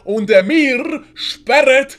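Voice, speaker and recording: Woman as a puffed-up bossy captain, drags his words out slow, swiss german accent, bit of music behind. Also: sits high, animated, pitched above the performer's own voice. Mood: pompous. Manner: pompous, drawn-out, commanding. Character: pompous, boastful, bossy male Spanish military captain. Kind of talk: comic commedia dell'arte audio play.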